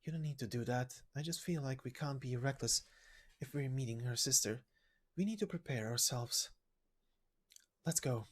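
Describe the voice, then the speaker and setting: A man's voice: medium pitch, normal speed, long pauses, slightly rough, lightly acted. A man voicing a wary, blunt game character who mutters in a matter-of-fact, grumbling way. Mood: cautious.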